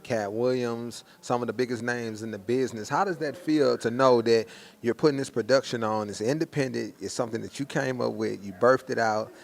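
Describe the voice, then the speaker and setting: A man's voice: medium pitch, a little fast, creaky. A man hosting a casual promotional interview, talking in an informal, slangy, hyped-up way. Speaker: host and interviewer.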